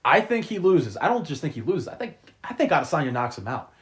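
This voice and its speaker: A man's voice: on the high side, big pitch swings, fast. A man co-hosting a casual sports debate, insistently repeating his points to get heard. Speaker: podcast co-host.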